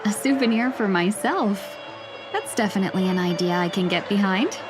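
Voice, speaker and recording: A woman acts out a refined young lady from a video game. She speaks in measured, courteous phrases at a middling pitch, gentle and a little wistful. Clear music plays underneath. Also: lightly acted. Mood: warm, gracious. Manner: polite, measured, softly formal. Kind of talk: English video game voice acting. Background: background music.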